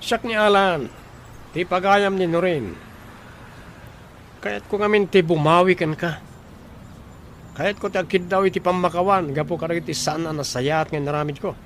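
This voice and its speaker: An actor playing a young man, a forceful rival in love, in a radio drama with a Filipino accent. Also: high voice, fairly even intonation, moderate pace, pauses often, a little hiss or hum. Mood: earnest. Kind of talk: Ilocano radio drama acting.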